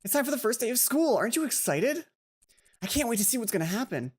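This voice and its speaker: A woman voicing an excitable, teasing teenage girl from a visual novel game, with a bubbly, gushing, drawn-out delivery. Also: a little high, breathy edge, pitched above the performer's own voice. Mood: excited.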